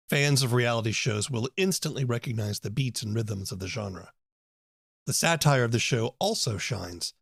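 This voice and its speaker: A man hosting a podcast, delivering scripted narration and interview questions in an orderly presenting style. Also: mid-range voice. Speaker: podcast host.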